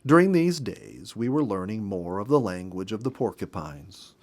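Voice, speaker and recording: A man in his fifties reading a story aloud in an expressive, measured narrator's manner with dramatic emphasis. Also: lowish voice. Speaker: storybook reader. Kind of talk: expressive read-aloud narration of a nature memoir.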